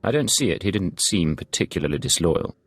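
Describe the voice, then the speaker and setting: An actor playing a cool secret agent in a video game, terse and controlled. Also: male voice, deep voice, expressive, brisk, husky, full character voice. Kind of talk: video game character dialogue.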